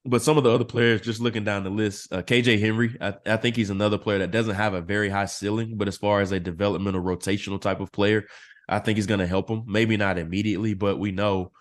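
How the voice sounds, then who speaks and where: A man analyzing football draft picks as a podcast guest, his voice a little hoarse and clearing his throat now and then. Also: on the low side, quick pace. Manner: steady analytical explaining. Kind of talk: casual podcast interview.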